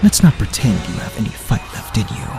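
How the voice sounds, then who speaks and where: A man playing a threatening, contemptuous mutant in an audio drama, giving curt, forceful orders. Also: lowish voice, big pitch swings, a little fast. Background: music.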